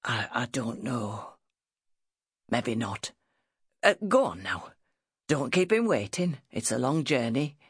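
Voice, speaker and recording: Weary, ailing dad played by a woman narrator: low, husky, gentle and halting, audiobook drama. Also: very animated, no room sound, clean studio sound, full character voice. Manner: halting, subdued, low-voiced.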